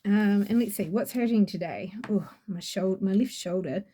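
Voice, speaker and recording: A woman's voice: mid-range voice, normal speed, dark tone, slight background noise. A woman with a New Zealand accent reviewing an oracle deck in a chatty, gushing way, rambling with fillers. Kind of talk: casual product review.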